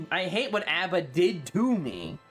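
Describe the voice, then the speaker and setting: Animated, rambling, sweary livestreamer. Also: medium pitch, slow pace, faint music behind. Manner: rambling, profane, opinionated. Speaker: streamer. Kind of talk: casual livestream chat.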